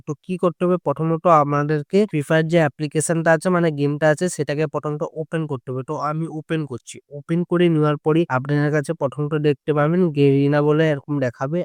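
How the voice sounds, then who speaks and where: A young man guides viewers step by step in an instructional, repetitive manner. His voice sits a little high and moves at a brisk pace. The sound is close and dry, with very little room. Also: clear, resonant tone, no echo. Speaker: tutorial video host. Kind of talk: step-by-step mobile game tutorial narration.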